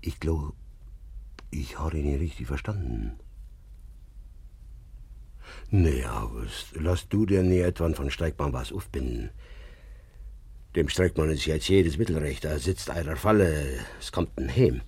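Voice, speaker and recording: A man's voice: expressive, unhurried, mellow, dry recording, full character voice. An actor playing a proud, stern old village father in a radio play, with an emphatic, hot-tempered way of talking. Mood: uneasy, disbelieving. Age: older. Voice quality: husky, very deep. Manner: emphatic, agitated, swinging from muttering to outbursts. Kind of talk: naturalistic German radio play acting.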